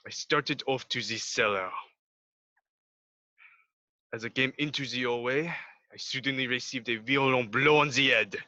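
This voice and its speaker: A man's voice: medium pitch, pushed and bright, no room sound. A man playing a French duke formally giving his account as a witness in an amateur radio play. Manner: formal, recounting events. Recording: slightly dull, lo-fi sound.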